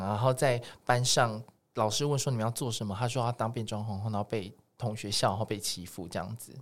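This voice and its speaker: A man with a Taiwanese Mandarin accent chatting casually as a music producer guesting on a podcast. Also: mid-range voice.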